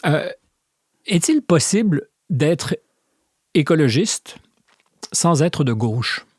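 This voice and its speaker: A middle-aged man with a Québécois accent hosting an interview, asking measured, challenging follow-up questions. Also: fairly high pitch, sing-song, husky, no echo, studio quality. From Quebec. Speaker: podcast host, interviewer.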